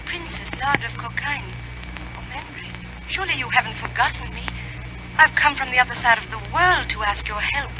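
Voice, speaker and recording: Pleading princess, muffled noisy line. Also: female voice, high voice, fairly quick. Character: pleading young foreign princess in distress. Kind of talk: 1940s radio serial melodrama. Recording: dull noisy vintage radio phone line.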